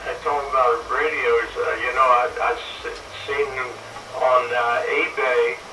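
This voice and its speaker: A man speaks casually over a CB radio, rambling and halting as he goes. His voice comes through distant and echoey, with clear noise over the transmission. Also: medium pitch, little pitch movement. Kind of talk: casual two-way radio chat.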